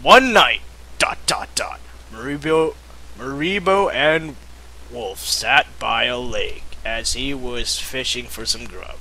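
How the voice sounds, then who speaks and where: Young guy reading fanfic out loud all dramatic and jokey, bright forceful voice, kinda slow. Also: mid-range voice, poor audio. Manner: theatrical, breaks off to joke and giggle. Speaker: amateur reader of fan fiction. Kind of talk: mocking dramatic reading with joking asides.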